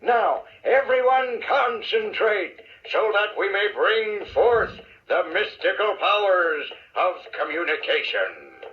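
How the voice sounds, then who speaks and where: A theatrical fraud of a wizard, pitched high and swooping widely. He draws out his grand incantations for a children's story tape. The sound is slightly muffled. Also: sing-song, slow pace, hardly any pauses, exaggerated voice. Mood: grandiose. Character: showy male wizard, secretly a sly fraud. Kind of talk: children's audio story acting.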